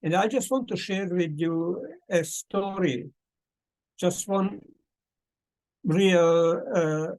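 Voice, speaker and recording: A man hosting an online discussion, talking in a slow, thoughtful way with many pauses and fillers over a video call. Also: high voice, a little mellow, poor audio. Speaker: host. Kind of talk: casual talk at an online meeting.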